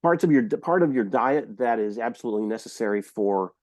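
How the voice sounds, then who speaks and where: A man's voice: mid-range voice, expressive, fairly quick, darkish tone, dry recording. A man co-hosting a casual podcast, joking and self-deprecating, sounding nasal from a cold. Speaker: podcast co-host.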